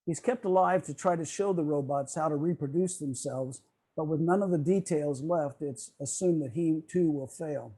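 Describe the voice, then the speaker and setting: Mellow, fairly high-voiced male lecturer. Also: moderate pace, husky, poor audio. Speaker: presenter at a club meeting. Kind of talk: prepared lecture on radio history. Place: online video call.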